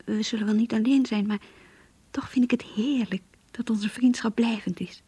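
Breathy, high-voiced lovestruck young girl. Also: fairly even intonation, normal speed, mellow, dry recording. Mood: happy yearning. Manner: emotional, yearning, polite and shy. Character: lovestruck, earnest young schoolgirl graduate reading her diary. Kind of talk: Dutch radio play acting, diary narration and dialogue.

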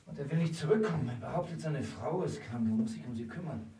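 An actor playing a brooding, detached gentleman in a radio drama, speaking reflectively and often hushed, with sudden urgency. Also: medium pitch, fast, slight background noise, lightly acted, low-quality recording. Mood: agitated, explaining. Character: brooding, detached gentleman in love with an endangered woman.

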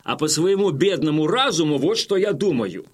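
Casting: wily Cossack leader, fairly high male voice, folksy measured oratory, audio drama. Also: expressive, slightly rough. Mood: sly, humble. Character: wily Cossack chieftain, feigning humility, addressing his warriors. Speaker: actor.

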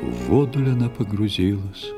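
A man narrating a fairy tale in expressive, theatrical verse for an audio play for children. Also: lowish voice, moderate pace. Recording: studio narration over soft music.

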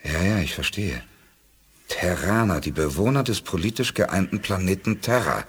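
A thoughtful spaceman leader in a science fiction radio drama. His voice is fairly low and husky, with lively swings of intonation. He questions things soberly and sceptically. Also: normal speed, full character voice. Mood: curious, pondering. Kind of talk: 1980s German sci-fi radio play acting.

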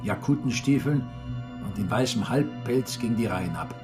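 A man's voice: medium pitch, lively intonation, moderate pace, natural voice. A man reading scripted literary narration for a radio drama. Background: music.

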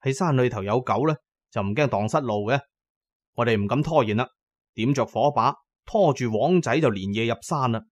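A man's voice: mid-range voice, normal speed, no room sound. A man narrating a scripted first-person story in a dramatic storytelling manner. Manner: dramatic storytelling, voicing characters' lines.

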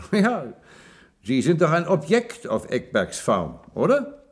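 An actor playing an old captive professor in a German science-fiction radio drama, bitter and sardonic, with an emphatic way of talking. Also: male voice, fairly high pitch, huge pitch swings, slow, husky, heavily acted. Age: older.

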